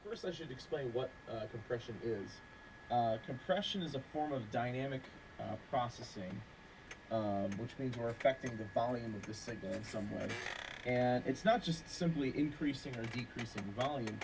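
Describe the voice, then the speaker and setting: A man giving an explanatory audio tutorial in a measured, somewhat hesitant way. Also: mid-range voice, noisy background. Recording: music playing over the voice. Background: music.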